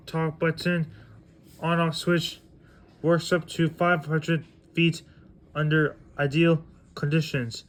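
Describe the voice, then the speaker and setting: A man with a fairly high voice speaks very slowly and haltingly. He talks casually in short, simple phrases, as the host of a homemade unboxing. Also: a bit bright. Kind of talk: casual unboxing narration, reading packaging aloud.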